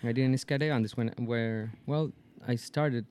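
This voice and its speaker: A man's voice: mid-range voice, fairly even intonation, moderate pace, a little mellow, a little hiss or hum. A man in his twenties from Chile with a Chilean Spanish accent, a native Spanish speaker, chatting as a podcast guest and often searching for words. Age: 20s. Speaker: guest skydiver. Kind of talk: casual podcast chat.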